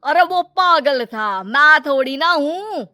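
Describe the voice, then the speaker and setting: A woman voicing a greedy, scheming middle-aged moneylender in an animated moral story, sly and brusque. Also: sits high, slow, no room sound, faint music behind, exaggerated voice.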